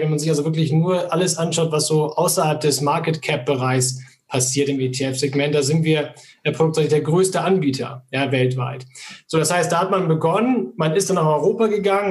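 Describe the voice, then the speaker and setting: A middle-aged man answering interview questions about his firm, measured and full of fillers. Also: on the high side, a little mellow. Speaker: asset manager guest.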